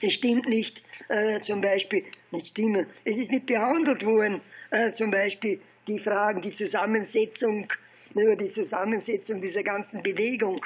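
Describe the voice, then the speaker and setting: An elderly man with an Austrian accent and a husky voice, telling his recollections informally in a halting, digressive way with repeated phrases. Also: sits high, steady tone. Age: 70s or older. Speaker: interviewee recounting political and research past. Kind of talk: informal oral history storytelling.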